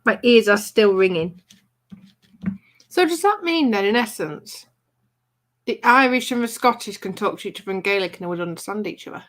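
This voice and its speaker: A woman's voice: medium pitch, expressive. A woman from Yorkshire with a Yorkshire accent, hosting a live craft stream with playful, curious banter. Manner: playful, curious, quick to retort.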